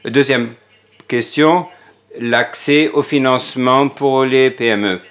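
A man giving live interpretation of a parliamentary speech. His pitch sits in the middle of the usual range. He talks in halting stretches, filling the gaps with drawn-out pauses and restarts. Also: steady tone, a bit bright. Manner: hesitant, frequent filler pauses. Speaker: simultaneous interpreter.

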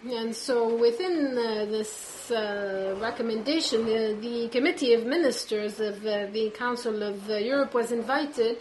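A woman giving a simultaneous interpretation of a formal speech at a conference, halting, with constant "uh" fillers between words. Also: a little high, darkish tone, a little hiss or hum, rough recording.

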